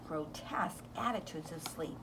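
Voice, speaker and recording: Lower-voiced woman reading a story, very noisy. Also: lively intonation, moderate pace, far from the mic. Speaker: volunteer story reader. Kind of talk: children's book read-aloud.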